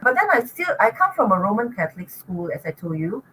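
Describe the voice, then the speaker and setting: A woman's voice: medium pitch, very animated, a little fast, fairly bright tone, a little hiss or hum, low-quality recording. An older woman with a Singaporean accent, a guest in an interview, telling stories in a chatty way and laughing often. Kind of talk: casual interview talk.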